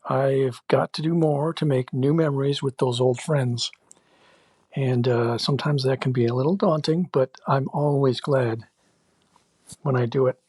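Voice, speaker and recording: A man's voice: medium pitch, fairly even intonation, a little slow, darkish tone, breathy edge, no room sound. A man giving a brief, matter-of-fact comment. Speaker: listener commenting.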